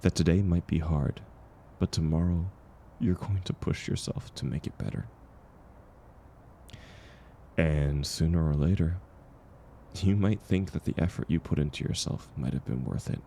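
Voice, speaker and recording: Male actor as kind weary drifter, very low voice, gravelly fry, unhurried, gentle, comfort audio roleplay, slight background noise. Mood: hopeful, tender. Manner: gentle, encouraging, unhurried, chuckling often. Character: kind, world-weary drifting performer, once homeless, comforting a stranger.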